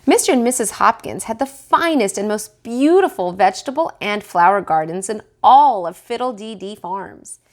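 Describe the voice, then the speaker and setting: A woman reading a picture book aloud to children in an expressive way, pausing to put questions to the listeners. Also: mid-range voice. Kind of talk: animated read-aloud storytelling for children.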